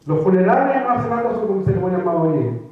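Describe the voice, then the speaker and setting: A man's voice: high voice, lively intonation, fast talker. A man giving a reflective talk to a live audience in a lecture room, often restarting and repeating words as he thinks. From Chile. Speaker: writer giving a public talk. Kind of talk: conversational lecture. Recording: echoey phone-like room recording from far off.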